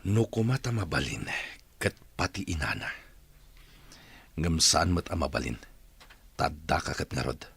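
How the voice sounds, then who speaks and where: A lovelorn radio drama character with a very low voice. His delivery is husky and conversational, with a wistful longing for an old love. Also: very animated, a little slow, long pauses, a bit bright, no echo, exaggerated voice. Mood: earnest. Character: lovelorn man searching for his long-lost former sweetheart.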